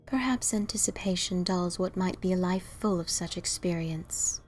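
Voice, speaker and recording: A woman voicing a calm, cryptic unicorn musician with a methodical, soft-spoken manner, in a fan audio drama. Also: lowish voice, moderate pace, faint music behind, natural voice. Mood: calm, enigmatic.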